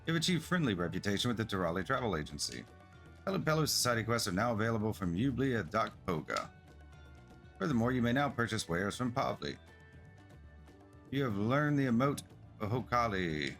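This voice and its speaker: A man's voice: on the low side, big pitch swings, fairly quick, a bit bright. A man streaming a game, reading its text aloud and muttering casual asides. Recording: music behind the voice. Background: faint game music.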